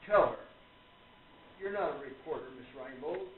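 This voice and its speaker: A man playing a polite, measured gentleman who gently reproves others in a radio play. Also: on the high side, lively intonation, lightly acted. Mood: gently reproving. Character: gentlemanly believer in spiritualism, courteous, gently reproving. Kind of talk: stage radio play acting. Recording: tinny, echoey, distant with audience noise.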